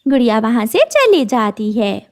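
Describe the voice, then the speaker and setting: A woman's voice: on the high side, wildly swooping pitch, a little slow, darkish tone. A woman reading scripted narration for a children's story as the storyteller.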